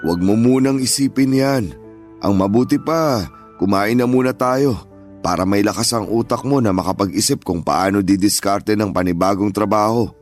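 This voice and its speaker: A man's voice: on the low side, moderate pace, soft background music, lightly acted. An actor playing an elderly Filipino grandfather, stubborn but joking and reassuring, in radio drama style. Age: older. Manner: gentle, reassuring, fatherly.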